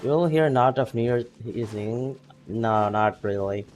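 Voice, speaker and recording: A man's voice: medium pitch, slow, darkish tone, slight background noise. Laid-back man chatting over a game stream. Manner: laid-back, chatty, laughs often, greets chat by name. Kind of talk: casual gameplay stream chat.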